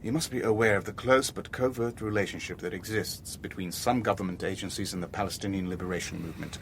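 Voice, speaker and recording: A shrewd, weary shipping boss in a radio spy drama. His voice sits low and he speaks in measured, clipped phrases, deliberate in narration and dialogue alike, over noticeable background noise. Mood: guarded, controlled. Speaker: narrator and lead character.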